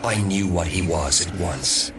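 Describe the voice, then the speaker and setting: Brooding male storyteller, lowish pitch, defiant, haunted, deliberate, audio drama, distant, noisy background. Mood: grim recollection. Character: haunted, defiant man with psychic powers, recounting his past. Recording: distant mic, clear background noise.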